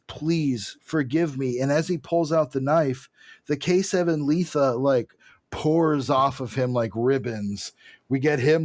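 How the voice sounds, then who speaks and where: Male podcast co-host, medium pitch, conversational recap style, close dry recording. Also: a little mellow, no room sound. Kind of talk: casual comic-book recap and commentary.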